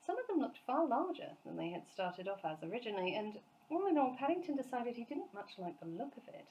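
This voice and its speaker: Expressive woman reading a children's story. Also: fairly high pitch, fast, lo-fi sound, a little hiss or hum. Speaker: storyteller, teacher reading aloud.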